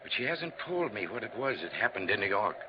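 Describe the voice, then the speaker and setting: An older American country father, folksy and plain-spoken, fond of a nip. His lowish voice rambles in a homespun way, in the style of 1950s radio drama, on a muffled recording with clear background noise. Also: very animated, fast. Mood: worried, earnest.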